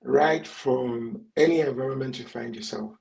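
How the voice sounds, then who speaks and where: A man with a gravelly, fry-edged voice hosts a career discussion. He affirms the guest warmly with short agreements before adding his own points. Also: medium pitch, moderate pace. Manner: affirming, frequent backchannels. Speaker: host. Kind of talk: panel moderation.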